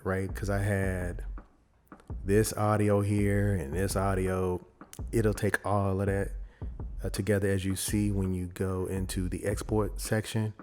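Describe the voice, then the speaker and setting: A man presenting a casual software tutorial, explaining informally and thinking aloud as he goes. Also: lowish voice, a little hiss or hum. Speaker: audio editing tutorial presenter.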